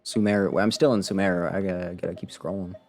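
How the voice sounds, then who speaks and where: A man's voice: low-pitched, steady tone, quick pace, darkish tone. A man giving casual live commentary as a game streamer, rambling and muttering to himself with playful sarcasm.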